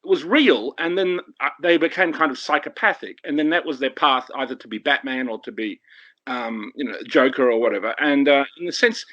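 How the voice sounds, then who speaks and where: A man, a filmmaker guest on a podcast, giving rambling, opinionated film views in quick bursts. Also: mid-range voice, fairly bright tone, highs cut off. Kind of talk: podcast discussion.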